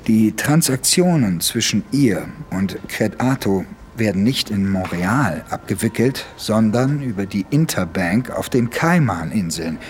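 A man's voice: fairly low pitch, a little hiss or hum. An actor playing a male police investigator in a radio drama, explaining things methodically.

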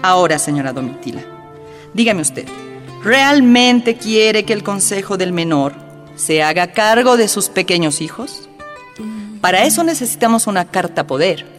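A woman's voice: mid-range voice, animated, moderate pace, natural voice. An actress playing an elegant, haughty woman official in a radio drama, falsely courteous and pushy. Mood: formal, condescending. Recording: reverberant room, music behind.

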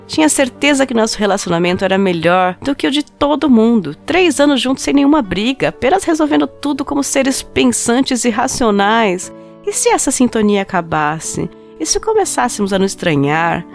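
A woman's voice: a little high, expressive, normal speed, gravelly. A woman acting a heartbroken young woman who tells the story of her lost love in an intimate, confessional way. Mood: anxious, reflective. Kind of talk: dramatized first-person short story narration in a podcast. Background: music under the voice.

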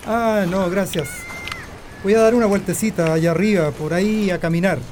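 A man's voice: high-pitched, steady tone, moderate pace, darkish tone, natural voice. An actor playing an easygoing family father in a radio drama, casual and offhand, with a Chilean accent. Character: easygoing father slipping off to play cards. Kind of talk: Spanish-language radio drama acting. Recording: light outdoor ambience noise.

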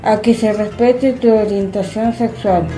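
A woman's voice: medium pitch, fairly even intonation, unhurried. A woman reading a scripted announcement aloud. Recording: roomy echo under prominent music.

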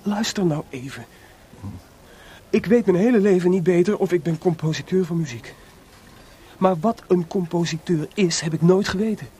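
An actor playing a feverishly excited, sleepless and proud male composer in a radio drama, confiding and rhetorical. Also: high voice, normal speed, slightly rough. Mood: urgent, reflective.

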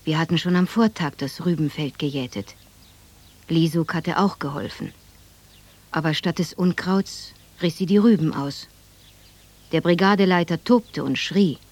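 A woman's voice: low-pitched, a little slow, darkish tone. An actress playing a reflective woman narrator who recalls a hard childhood in a literary radio drama.

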